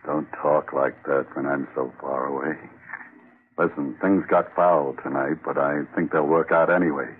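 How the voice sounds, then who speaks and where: A man's voice: very deep voice, steady tone, moderate pace, slight background noise. An actor playing a slangy, scheming tough-guy crook with an insinuating way of talking in a 1940s radio drama. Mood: sly, coaxing. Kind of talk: 1940s radio crime acting. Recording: muffled narrow-band old radio.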